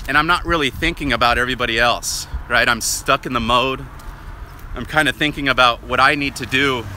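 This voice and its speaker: A man vlogging while walking outdoors. He talks loudly and casually, with no microphone on, and keeps tossing in "right?". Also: medium pitch, a little hiss or hum. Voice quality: loud voice. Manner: rambling, chatty, often asks "right?". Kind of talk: casual walk-and-talk monologue. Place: outdoors on a residential street, walking. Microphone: no worn mic, camera or phone at hand.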